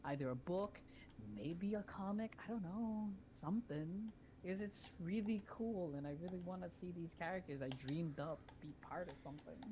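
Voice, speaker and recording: A woman with a low-pitched voice talks casually on a live stream. She rambles with animation, repeats herself and swears without care. Noticeable noise sits behind her voice. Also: moderate pace. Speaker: streamer telling friends a story idea. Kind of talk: casual chat on a voice call.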